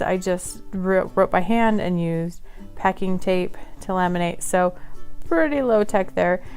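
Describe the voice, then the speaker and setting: A woman hosts a relaxed craft tutorial. She talks in a friendly, chatty way at a middling pitch and sometimes laughs at her own slips. Soft music plays faintly behind her. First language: English; Polish is her second language. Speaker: craft channel host.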